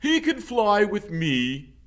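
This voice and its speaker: A man's voice: sits high, huge pitch swings, slow pace, fairly bright tone, voice pushed up, heavily acted. A man voicing a big, commanding male goose in a storybook, making formal announcements to his flock. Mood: assured.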